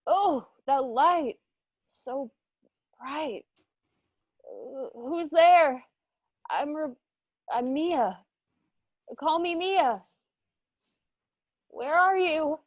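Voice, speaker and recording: Distraught mother for an actress: high voice, very slow halting delivery, dry close sound. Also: animated, no room sound, full character voice. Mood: bewildered. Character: bewildered, distraught mother lost in a nightmare. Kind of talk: radio drama acting.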